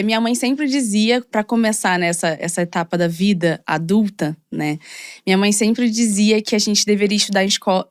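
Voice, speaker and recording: A young woman from Rio de Janeiro with a Carioca accent, a podcast guest telling her story in a chatty, animated way full of fillers. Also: medium pitch, smooth, no room sound, clean studio sound. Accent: Carioca Brazilian Portuguese. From Rio de Janeiro, Brazil. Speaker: guest, model and content creator. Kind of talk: casual podcast conversation.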